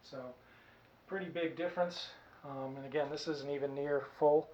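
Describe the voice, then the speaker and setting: A man casually and haltingly explaining gear in a demo, recorded by a camera mic in a small room. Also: fairly high pitch, fairly even intonation, darkish tone, dull audio, a little hiss or hum. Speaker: costume hobbyist demonstrating gear. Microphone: camera mic from a short distance.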